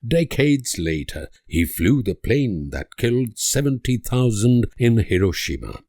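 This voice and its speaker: An actor playing an idealistic, world-weary diplomat, delivering grave, deliberate speeches and letters in a strategy game. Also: male voice, mid-range voice, very animated, a little mellow, no room sound, clean studio sound. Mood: bleak sorrow.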